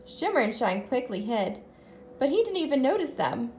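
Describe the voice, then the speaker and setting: A woman reading a children's storybook aloud as narrator and host, in an animated style. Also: high-pitched, moderate pace, slight background noise. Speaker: storybook reader, channel host.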